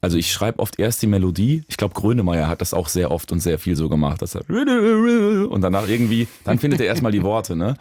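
A man, a musician, talking reflectively in an interview, searching for words with pauses and restarts. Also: fairly low pitch, very animated, darkish tone, dry recording. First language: German. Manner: thoughtful, searching, with fillers and restarts. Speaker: musician guest.

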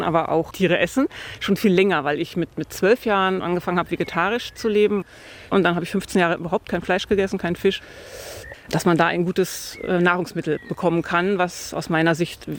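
A woman in her fifties talks in a relaxed, thoughtful way about hunting, full of little fillers. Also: fairly low pitch, a little hiss or hum. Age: 50s. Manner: thoughtful, lots of fillers like tatsächlich and also. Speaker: hunter interviewed about her hobby. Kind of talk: relaxed conversational storytelling. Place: outdoors by a field.

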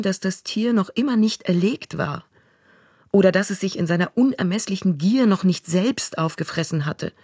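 A voice-over reader performing prose excerpts in a quiet, reflective, unhurried way. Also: medium pitch, husky, dry recording, studio quality. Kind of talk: literary reading of prose excerpts.

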